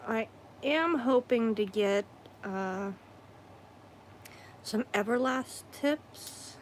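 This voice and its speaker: Hoarse woman in a slow, rambling chat. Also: on the high side, slight background noise. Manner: rambling, with frequent throat-clearing and self-corrections. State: hoarse, losing her voice. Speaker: craft streamer. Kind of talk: casual livestream chat while crafting.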